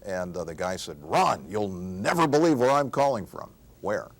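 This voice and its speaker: A man's voice: on the low side, lively intonation, moderate pace. A man, a former night radio host, telling stories conversationally and acting out the dialogue. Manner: acts out quoted dialogue. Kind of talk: conversational storytelling.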